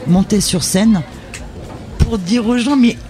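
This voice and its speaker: A woman from northern Charente in France talks freely as a radio guest at a festival. her voice is mellow and a little dark, and she chats in a lively, familiar way that slips into casual tu. the delivery is unscripted and talkative. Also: medium pitch, slow. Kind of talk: casual radio interview chat.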